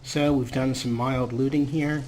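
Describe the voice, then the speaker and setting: A man's voice: medium pitch, fairly even intonation, measured pace, a little mellow, a little hiss or hum, low-quality recording. A man giving casual let's play commentary in a chatty way, with playfully formal turns of phrase. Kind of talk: casual let's play commentary with some read-out game text.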